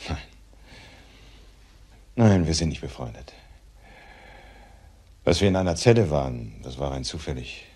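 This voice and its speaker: An actor playing a guarded, evasive male ex-convict in a crime drama, with a husky voice and hesitant, curt answers. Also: rumbling low, expressive, a little slow, pauses often, a little mellow, exaggerated voice.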